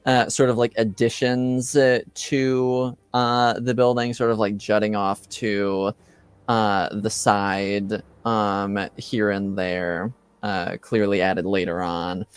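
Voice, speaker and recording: Slow, low-voiced man as game master. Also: little pitch movement, smooth, no room sound. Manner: halting, pausing mid-phrase to find words. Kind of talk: improvised tabletop game narration.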